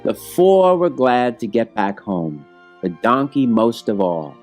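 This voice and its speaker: A man reading a rhyming children's story aloud in an expressive, measured storytelling manner. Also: mid-range voice, faint music behind. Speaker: storybook reader.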